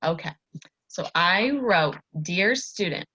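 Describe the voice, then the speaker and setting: A woman's voice: on the low side, expressive, takes it slowly, bright, forceful tone, breathy edge. A woman, a student teacher giving an encouraging one-to-one lesson to a child. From Iowa, United States. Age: early 20s. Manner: encouraging, praising. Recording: dull, echoey lo-fi room recording.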